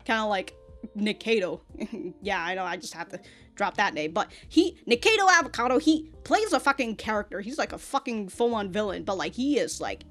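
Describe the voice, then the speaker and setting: An American woman giving casual, rambling reaction commentary, with an animated, slangy, sweary way of talking. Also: mid-range voice, moderate pace, soft background music. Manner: animated, sweary, slangy, often laughing mid-thought. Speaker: reaction video host.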